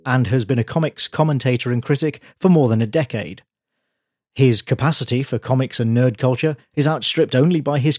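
A man hosting a podcast, reading a brisk scripted intro and then interviewing his guest. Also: medium pitch. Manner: brisk, articulate presenter. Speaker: podcast host. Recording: breathy voice over faint guitar music.

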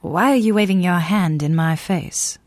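A woman's voice: on the low side, normal speed, a little mellow, no room sound, studio quality, natural voice. A woman playing a spirited, inquisitive girl Friday in an old-time radio drama parody. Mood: exasperated, curious.

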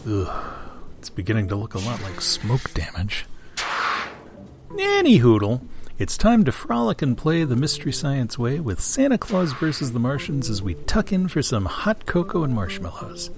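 Playful, animated male host. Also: medium pitch, normal speed, noisy background. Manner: jokey and playful. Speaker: radio theater host. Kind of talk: scripted comic introduction.

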